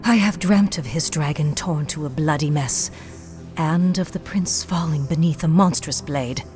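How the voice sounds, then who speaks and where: Stately, solemn elf leader. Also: female voice, sits low, a little slow, darkish tone, soft background music. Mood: grave, mournful. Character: grave, proud female High Elf leader. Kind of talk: fantasy game cutscene acting.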